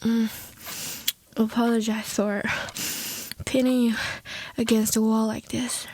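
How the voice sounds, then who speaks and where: A woman's voice: fairly high pitch, flat delivery, takes it slowly, warm tone. A woman playing a courteous, smitten old-world vampire, speaking formally and intimately in a roleplay audio drama. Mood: hungry, breathless. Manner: formal, old-fashioned diction, tender and breathy.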